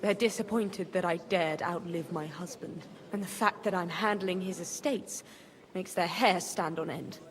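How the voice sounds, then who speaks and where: Shrewd, low-voiced noblewoman, played by an actress. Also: normal speed, lightly acted. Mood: businesslike. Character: shrewd, wry widowed medieval noblewoman. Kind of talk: video game dialogue acting.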